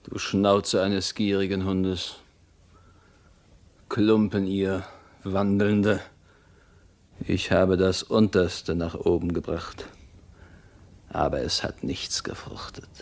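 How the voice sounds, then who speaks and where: An actor playing a defeated, contemptuous prisoner in a radio play, speaking haltingly with bitter scorn. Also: male voice, deep voice, fairly even intonation, darkish tone, full character voice. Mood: bitter, weary. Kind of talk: theatrical German radio play acting.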